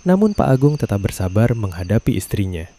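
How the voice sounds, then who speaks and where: A man narrating a scripted story in a calm, measured way. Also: on the low side.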